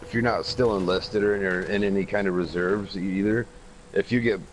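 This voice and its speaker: An American man with a fairly low voice, joining a casual voice chat with a friend. He talks bluntly and swears freely. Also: steady tone, normal speed, a little hiss or hum, poor audio. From United States. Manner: blunt and profane.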